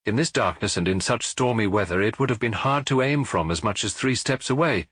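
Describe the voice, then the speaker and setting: A man's voice: on the low side, animated, fairly quick, a bit bright, breathy edge. A man voicing a chatty, knowing dance-hall proprietor with a familiar, confiding manner in an audiobook. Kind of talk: audiobook character dialogue.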